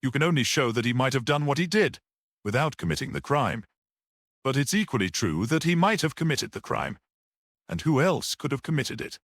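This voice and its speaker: A man's voice: medium pitch, big pitch swings, fairly quick, a bit bright, dry recording, clean studio sound. A man voicing a practical, sceptical police detective in an audiobook, with a challenging, questioning manner. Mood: sceptical, reasoning. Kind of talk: dramatic audiobook character reading.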